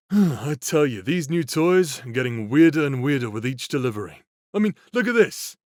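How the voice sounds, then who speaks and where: A man's voice: medium pitch, big pitch swings, moderate pace, a little mellow, no echo, clean studio sound, natural voice. A man playing a flippant, casually sadistic demon bounty hunter in an anime-style audio roleplay, lazily drawling his lines. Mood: dismissive.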